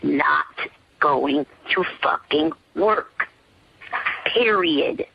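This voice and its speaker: A man with a gravelly voice arguing stubbornly on a dull phone recording, refusing emphatically in short bursts. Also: on the high side, lively intonation, very slow, background noise.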